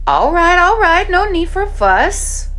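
A woman playing a doting, oblivious grandmother in an audio play, with drawn-out, singsong fussing. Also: very high-pitched, very animated, a bit bright, exaggerated voice. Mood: doting, coaxing. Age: older.

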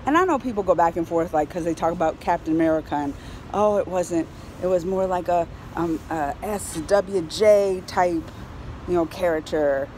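A middle-aged woman giving a casual, excited review to camera in an animated, colloquial way. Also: on the low side. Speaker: vlogger reviewing a film. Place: outdoors on a high-rise terrace in a city. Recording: clear outdoor ambient city noise.

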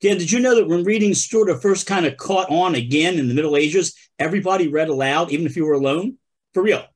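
A man's voice: fairly high pitch, fast. A man on a video call with bandmates, chatting casually and making jokey announcer-style asides. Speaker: band member hosting a video call show. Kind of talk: casual joking chat.

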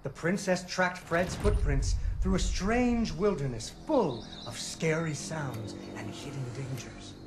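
A woman's voice: low voice, expressive, takes it slowly, hardly any pauses, music in the background. A woman voicing a young girl who narrates a game's storybook tale in a playful, sing-song way. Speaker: game narrator.

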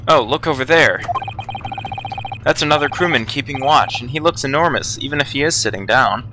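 A man's voice: medium pitch, fairly quick, noisy background. A man hosting a casual let's play, reading dialogue aloud with wry commentary.

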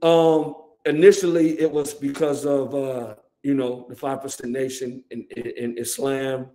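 A man's voice: medium pitch, level tone, unhurried, mellow. A man in his forties with an American accent, a rapper guest chatting casually in slang and repeating phrases as he tells stories. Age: 40s. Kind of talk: casual interview chat. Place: dim large room indoors, on a video call.

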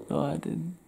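A young man from Cleveland doing an intimate ASMR roleplay, talking softly and often whispering, recorded close in a small indoor room. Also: a little high, creaky, slightly rough. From Cleveland, Ohio.

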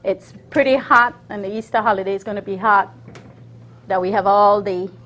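Halting woman demonstrating a recipe. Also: medium pitch, a bit bright, rough recording. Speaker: guest cook demonstrating a recipe. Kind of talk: casual cooking demonstration. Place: TV kitchen studio set.

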